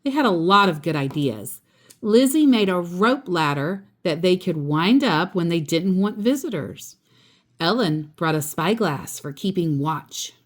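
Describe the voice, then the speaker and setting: A woman reading a children's picture book aloud in an expressive, animated way, acting out the characters. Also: on the low side, a little slow. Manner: animated, acts out character voices.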